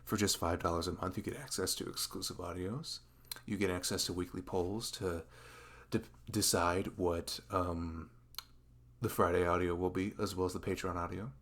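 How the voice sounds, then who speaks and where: A man's voice: low voice, flat delivery, moderate pace, a bit bright. A man with a velvety voice making a casual, earnest, rambling announcement as an audio creator.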